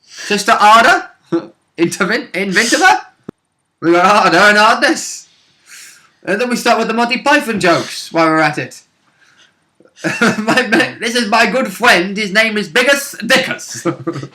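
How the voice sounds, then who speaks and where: A man's voice: sits high, animated, measured pace, sharp tone. A man in casual, jokey banter as a player recapping a tabletop game, often interjecting and swearing.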